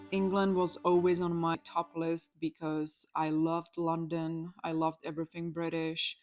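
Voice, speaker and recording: A young woman from the Czech Republic with a Czech accent, an electrologist telling her personal story in a chatty, candid vlog. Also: lowish voice. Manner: chatty, candid, with fillers and restarts.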